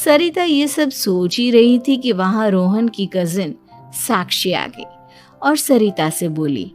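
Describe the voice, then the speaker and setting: A woman tells a scripted cartoon story at an unhurried, slow pace. Her pitch sits in the middle, with music playing softly behind her. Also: lively intonation. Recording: background music under the voice.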